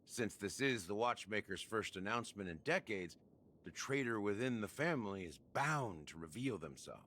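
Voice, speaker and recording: A man playing a shrewd, secretive veteran schemer in a video game, telling his story in a measured, knowing and theatrical way. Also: lowish voice, lively intonation, a bit bright, a little hiss or hum. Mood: knowing, wry. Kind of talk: scripted game dialogue, expository storytelling. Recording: studio voice over background music.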